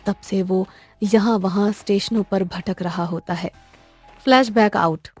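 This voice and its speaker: A woman's voice: mid-range voice, steady tone, a little slow, darkish tone. A woman storyteller reading scripted narration for a cartoon tale. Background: faint music.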